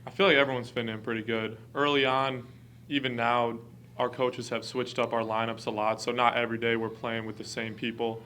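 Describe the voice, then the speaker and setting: A man's voice: medium pitch, little pitch movement, a little fast, sharp tone, slight background noise. A young man, a college basketball player, giving casual, plainly worded answers at a press conference. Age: early twenties.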